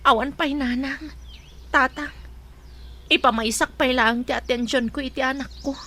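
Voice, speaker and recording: A woman's voice: high voice, steady tone, normal speed, sharp tone. A woman acting a heartbroken, respectful young single mother in an Ilocano radio drama, with an Ilocano accent and earnest, emotional delivery. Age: young adult. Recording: faint noise behind the voice.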